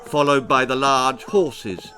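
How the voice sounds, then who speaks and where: A man with an English accent reading a children's story aloud in an expressive, theatrical and deliberate way. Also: mid-range voice, slight background noise. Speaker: author reading his own story.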